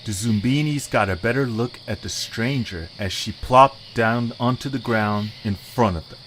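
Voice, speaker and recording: A man's voice: mid-range voice, normal speed, fairly bright tone, a little hiss or hum, low-quality recording. Male storyteller with crickets behind. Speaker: narrator. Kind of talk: scripted story narration.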